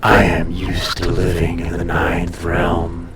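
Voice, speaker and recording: A man voicing an ancient, haughty, possessive dragon in an intimate audio roleplay, speaking formally and deliberately with a breathy, softly menacing, seductive delivery. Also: deep voice, completely flat delivery, reverberant, recorded from a distance, background noise. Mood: wistful, musing.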